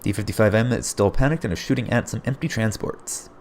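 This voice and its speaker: A man casting a strategy game replay as play-by-play commentary, his voice hoarse and getting raspy, often breaking into surprised exclamations. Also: lowish voice, fast, a little hiss or hum. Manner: excitable, frequent surprised exclamations. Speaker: game caster.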